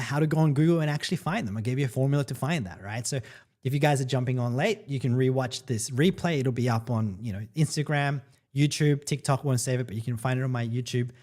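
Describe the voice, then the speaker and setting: A man's voice: medium pitch, fast talker, a little mellow. Upbeat man chatting on a livestream. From El Salvador. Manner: upbeat and chatty, peppering lines with "right?" and "guys". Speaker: online marketing coach hosting a livestream. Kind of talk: casual livestream talk to viewers.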